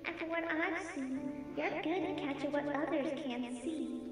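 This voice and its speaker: A woman's voice: high-pitched, normal speed. A woman voicing a solemn young filly ghost who speaks formally as a spirit guide in a fan-made audio drama. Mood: solemn warning. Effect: long ghostly reverb. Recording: echoey reverb, distant, music behind.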